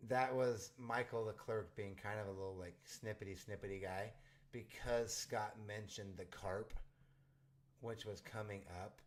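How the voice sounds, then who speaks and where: A man hosting a casual livestream, pausing meeting footage to comment on it in a chatty, joking way. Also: fairly low pitch, a little hiss or hum. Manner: chatty, joking, fast asides. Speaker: livestream host.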